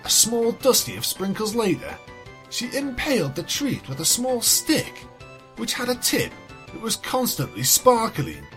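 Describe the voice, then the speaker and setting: Theatrical woman storyteller, very animated. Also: on the high side, unhurried. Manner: theatrical, wry. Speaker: fan fiction reader, narrator. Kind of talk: dramatic scripted story narration. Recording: music behind the voice.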